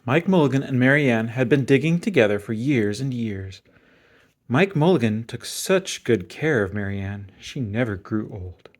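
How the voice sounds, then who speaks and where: A man reading a children's picture book aloud in an expressive storytelling style. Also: mid-range voice, normal speed.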